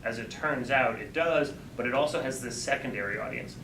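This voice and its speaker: A man pitches his startup to a live audience, talking through his ideas in a relaxed, explanatory way and often correcting himself mid-sentence. His voice sits at an ordinary pitch. He sounds distant, in a reverberant room with steady background noise. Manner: explanatory, conversational, quick self-corrections. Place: event room. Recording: echoey, distant, noisy room.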